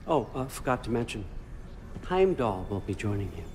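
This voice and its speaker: A man playing a sly, grandfatherly one-eyed god-king in a video game, with a folksy, theatrical storyteller's delivery over loud music. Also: medium pitch, sing-song, moderate pace, slight background noise, natural voice. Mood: breezy, commanding. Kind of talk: video game character acting.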